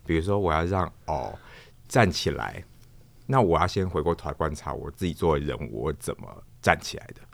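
A man with a Taiwanese accent answering interview questions thoughtfully and haltingly, repeating himself as he searches for words. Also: extremely low pitch, very animated, creaky. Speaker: guest, theatre performer, puppeteer and director. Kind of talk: reflective interview conversation.

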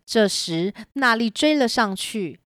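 A woman's voice: on the high side, lively intonation, slow, fairly bright tone, no echo, studio quality. A woman narrator reading a scripted story for children.

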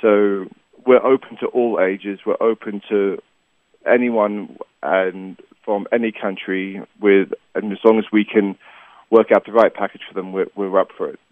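A man's voice: lowish voice, fairly even intonation, measured pace, no room sound. A man in his thirties, a tour company founder, answering interview questions earnestly, rambling with many fillers and restarts. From Asia (Hong Kong, Taiwan, Malaysia). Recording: dry tinny phone-like line.

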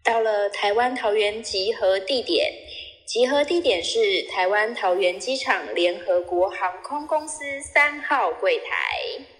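A woman's voice: medium pitch, animated, takes it slowly, a bit bright. A woman narrating a scripted comic audio story. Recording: echoey hall sound.

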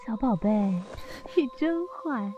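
A sadistic lady crime boss in an audio drama. Her highish voice swoops widely and lingers on words at a slow, taunting pace, sweetly mocking and possessive, with music underneath. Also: heavy background noise, exaggerated voice. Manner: taunting, drawn-out, gloating with cackles. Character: sadistic, obsessive woman gang boss, mockingly sweet predator.

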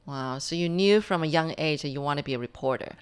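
A woman's voice: sits low, fast, clear, resonant tone. A woman from Taiwan hosting a radio studio interview, chatty and full of fillers. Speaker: radio host. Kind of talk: casual interview.

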